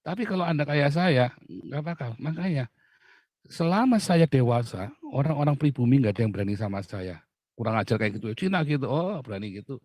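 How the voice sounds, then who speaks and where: A man's voice: medium pitch, expressive, a little fast, darkish tone, no echo. A middle-aged man from Indonesia, a pastor hosting an outspoken talk, speaking bluntly and emphatically and laughing often. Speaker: pastor and host.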